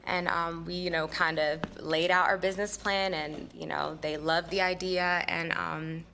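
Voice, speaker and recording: A woman's voice: fairly low pitch, level tone, measured pace, creaky, bright, forceful tone, slight background noise. A woman from New Jersey, a business owner chatting as a guest on a local radio show, with many fillers. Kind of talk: casual radio interview.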